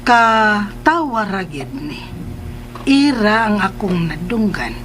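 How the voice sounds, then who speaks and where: An unhurried Filipino mother, faint noise. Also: mid-range voice, big pitch swings, fairly bright tone. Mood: concerned, curious. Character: patient, caring mother who cooks for family. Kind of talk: Filipino radio drama acting.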